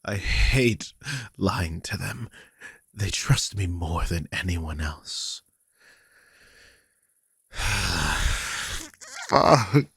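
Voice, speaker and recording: A man's voice: sits low, animated, drawn-out words, pauses often, a bit bright, no echo, full character voice. A man playing a worried, guilty vampire king who is protective of his charge, confiding wearily in an audio roleplay. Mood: anguished. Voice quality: husky, breathy. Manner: confiding, weary, sighing, swears. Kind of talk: dramatic audio roleplay, one side of a conversation.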